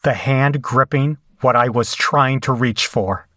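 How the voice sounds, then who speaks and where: Horror drama lead, male actor: shaken survivor telling the tale gravely and unhurriedly, in a dry space. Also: mid-range voice, unhurried, a bit bright, no room sound, natural voice. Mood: horrified. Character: shaken horror survivor recounting a bloody escape. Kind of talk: first-person horror audio drama narration.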